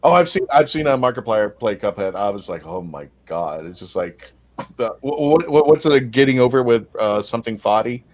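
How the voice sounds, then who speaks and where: A man's voice: mid-range voice, lively intonation, normal speed. Man, mid-range voice, rambling stories, laughs a lot, casual chat. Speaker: convention stream host.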